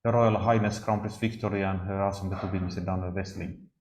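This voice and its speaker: A Swedish man giving an academic talk, speaking haltingly with frequent pauses and restarts. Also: low voice, steady tone, a little mellow. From Sweden. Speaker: lecturer. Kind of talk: academic discussion. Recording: roomy echo.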